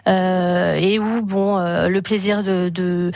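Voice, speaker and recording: Guest spot: woman from Paris, festival coordinator, halting delivery full of "euh", dry close mic. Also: on the low side, little pitch movement, vocal fry, a little mellow, no echo. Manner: hesitant, many fillers and restarts. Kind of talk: radio interview.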